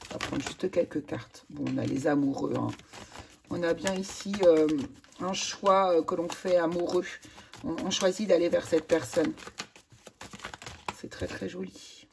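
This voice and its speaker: A woman with a hoarse voice, chatting enthusiastically through a tarot card reading for viewers. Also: fairly low pitch, unhurried, darkish tone, a little hiss or hum. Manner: chatty, enthusiastic, many fillers and exclamations. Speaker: tarot reader.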